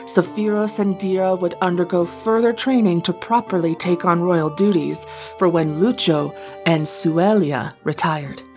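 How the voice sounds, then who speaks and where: A woman narrates a scripted fantasy story in a storybook style. Her voice sits a little low for a woman, and music plays behind her. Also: measured pace, a little mellow.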